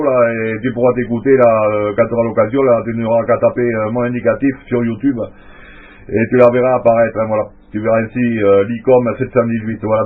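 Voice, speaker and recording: A man chatting casually as an amateur radio operator, in a hesitant, informal, technical way. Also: mid-range voice. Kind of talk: casual radio contact chat. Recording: muffled narrow-band radio transmission audio.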